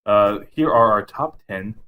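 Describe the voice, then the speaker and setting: A man's voice: fairly low pitch, unhurried, sharp tone. Man co-hosting a casual podcast. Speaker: podcast co-host. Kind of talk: casual podcast chat about movies.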